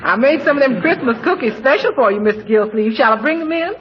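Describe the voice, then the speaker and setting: An actress playing a cheerful, plain-spoken housekeeper with folksy grammar and a confident manner in 1940s radio comedy. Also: female voice, high voice, expressive, fast talker. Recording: muffled, hissy 1940s radio recording.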